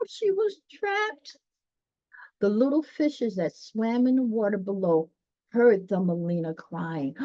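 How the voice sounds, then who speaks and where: A woman's voice: medium pitch, dark tone, no echo, highs cut off. A woman reading a children's story aloud expressively, with folksy, chatty asides to her listeners.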